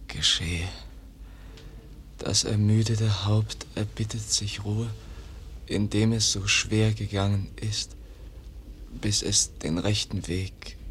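A man in a radio play acting a gentle, exhausted young man on his deathbed, speaking haltingly and drifting into whispers. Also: on the low side. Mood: weak, forgiving. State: dying, feverish, very weak. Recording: noisy archive radio play recording.

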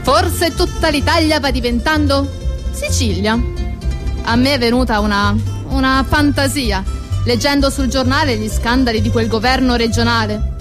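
A woman acting a dramatic higher-voiced storyteller over music. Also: close to an everyday voice. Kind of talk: theatrical monologue and dramatic reading for radio. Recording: music playing behind the voice.

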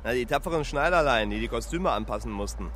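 An actor playing a sneering, brutish Nazi guard who jeers with contempt in a German radio drama. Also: male voice, medium pitch, expressive, quick pace, a bit bright, lightly acted. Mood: contemptuous. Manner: jeering. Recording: outdoor scene with clear vehicle noise.

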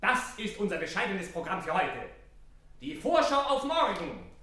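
An actor playing a manic theatrical showman who announces gruesome love tragedies in a radio play, with grand, drawn-out flourishes. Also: mid-range voice, wildly swooping pitch, sharp tone. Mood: gleefully macabre. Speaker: show announcer. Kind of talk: exaggerated radio play barker. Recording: very echoey, distant from the microphone.

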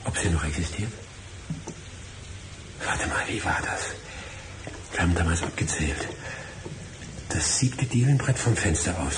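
Brooding, agitated man, widely swinging pitch. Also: animated, unhurried, pauses often, heavy background noise. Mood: hushed searching. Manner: muttering to himself, swinging into irritated outbursts. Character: brooding, agitated male lawyer, haunted by memories. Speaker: actor in a radio play. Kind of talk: radio drama monologue, murmured soliloquy and outbursts.

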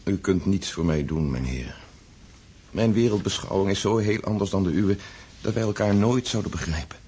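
An actor playing a wealthy young Russian count in a radio drama, unhappy and doubting, speaking in a polite, brooding, hesitant way. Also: male voice, low voice, normal speed, a little mellow, slightly rough. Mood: despairing. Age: young adult. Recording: noisy old radio play recording.

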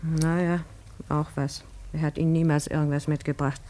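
A weary mother played by a radio actress with a fairly low voice. She talks bluntly and curtly, with a grudging, resentful matter-of-factness. It sounds like a scene from a 1950s German radio play. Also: flat delivery, moderate pace, heavy background noise, lightly acted. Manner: curt, matter-of-fact, grudging. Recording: 1950s radio drama recording.

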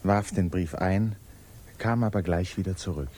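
An actor narrating a literary 1950s German radio drama as a sober, observant storyteller. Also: male voice, low voice, normal speed, mellow. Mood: calm, intimate.

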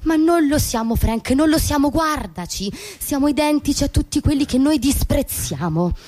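An actress playing a frustrated, fervently persuasive suburban wife in a radio drama, intense and insistent, leaning on repeated words. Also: female voice, high-pitched, lively intonation, moderate pace, darkish tone. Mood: exasperated, bitter. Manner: intense, insistent, emphatic repetitions. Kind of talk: stage-style radio drama dialogue.

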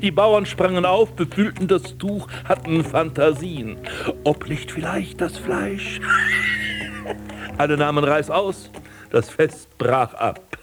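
An actor playing a vain, scornful prince and landscape gardener in a radio drama, speaking in pompous, ornate declamation. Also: male voice, fairly high pitch, takes it slowly, over music. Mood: brooding storytelling. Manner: pompous, declamatory, ornate old-fashioned diction. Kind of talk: literary radio drama acting, monologue and dialogue.

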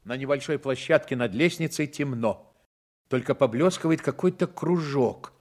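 A man's voice: medium pitch, normal speed, lightly acted. A man reading scripted narration as the storyteller of a Soviet radio drama.

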